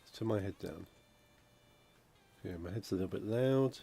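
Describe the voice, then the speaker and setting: Croaky man streaming with music. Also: fairly low pitch, moderate pace, mellow. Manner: rambling, chatty, reads out chat messages. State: croaky from a cold. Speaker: game dev streamer. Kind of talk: casual live-stream chat. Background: funky music playing.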